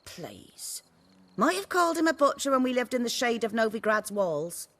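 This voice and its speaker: Blunt, wry market peasant for a fantasy game: fairly high voice, sardonic edge. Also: female voice, big pitch swings, moderate pace, a bit bright. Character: blunt, wry village carrion seller's wife. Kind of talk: video game voice acting.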